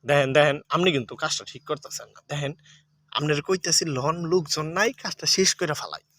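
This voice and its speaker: A man's voice: a little high, fast talker, a bit bright. A man acting a pushy, lecherous village man in a comedy sketch, nagging and repetitive, with a rural Bangladeshi Bengali accent. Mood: pushy, wheedling. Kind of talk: improvised comic dialogue.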